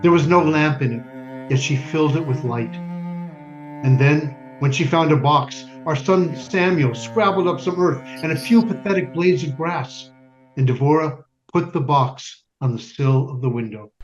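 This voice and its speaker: A man's voice: fairly high pitch, roomy sound, natural voice. Man acting a solemn husband narrating, muffled, over music. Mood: solemn, reverent. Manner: measured, solemn, poetic delivery. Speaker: community theater actor. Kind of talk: dramatic stage narration.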